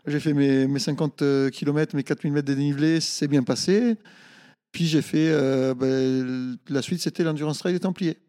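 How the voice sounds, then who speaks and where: A middle-aged man from Italy, a native Italian speaker, answering casually in an interview with halting, hesitant phrasing. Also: a little high, fairly even intonation, mellow, big-room sound. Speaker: interview guest, ultra-trail runner.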